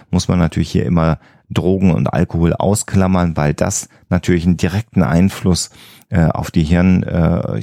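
A man's voice: deep voice, gravelly, mellow, no echo, studio quality. A man who explains things as a podcast host, often rephrasing himself as he goes.

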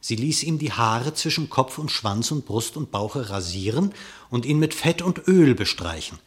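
A male narrator with a medium-pitched voice, reading a verse fable in a radio play. He delivers the tale in a measured, even storytelling manner.

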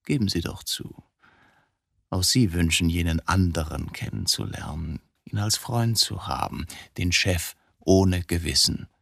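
An actor in a radio drama playing a coolly provocative, philosophical man who has confessed to killing his wife, speaking deliberately in probing rhetorical questions. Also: deep voice, expressive, vocal fry, fairly bright tone, dry recording, clean studio sound, heavily acted. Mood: calm, insinuating.

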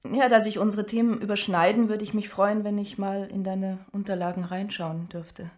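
An actress playing a coaxing, insecure young doctoral student in naturalistic radio drama dialogue, speaking with some hesitation. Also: female voice, medium pitch, level tone, moderate pace, a little mellow, lightly acted.

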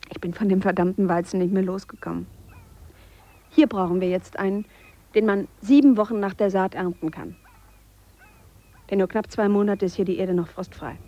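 An actress playing an earnest, reflective Swiss woman biologist who speaks a measured monologue in a radio play. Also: medium pitch, mellow, lightly acted. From Switzerland. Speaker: biologist character. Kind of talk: radio drama monologue, spoken letter.

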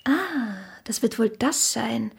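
An actress playing a young, blunt and teasing shopkeeper's daughter in a radio drama, plain-spoken and direct. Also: female voice, a little high, expressive, takes it slowly, vocal fry, breathy edge, heavily acted. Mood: matter-of-fact. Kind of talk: literary radio drama dialogue.

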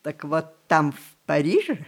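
A woman's voice: low voice, sing-song, full character voice. An older woman playing a mischievous, rebellious grandmother of great age in an audio play, with theatrical, emphatic delivery. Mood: mischievous, proud. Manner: theatrical, emphatic, with drawn-out words. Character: spirited, rebellious 106-year-old grandmother, mischievous and sharp-tongued. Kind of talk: stage-style audio play acting.

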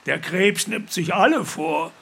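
Creaky, high-pitched sly old man. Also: expressive, sharp tone, full character voice. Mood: calm, knowing. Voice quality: gravelly, raspy. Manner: slow, deliberate, commanding. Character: frail, sly, dying old man, calm and bossy. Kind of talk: radio play acting.

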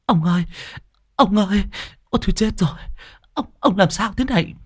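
A man voicing a frantic middle-aged village wife with a shrill, high voice. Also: normal speed, breathy edge, dry recording, clean studio sound. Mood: wailing. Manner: wailing then nagging in a high shrill voice.

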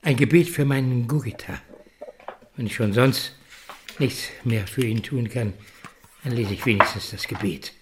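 An actor playing a kindly, grieving old grandfather with failing eyesight in a radio play, speaking gently and haltingly. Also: mid-range voice, noisy background, natural voice. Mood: mournful. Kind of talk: German radio play acting.

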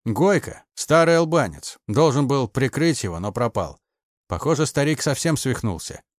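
A man's voice: medium pitch, expressive, moderate pace, no room sound, studio quality, pitched above the performer's own voice, close to an everyday voice. A man voicing an irritable, cynical male conspirator with a curt, grumbling manner in an audiobook.

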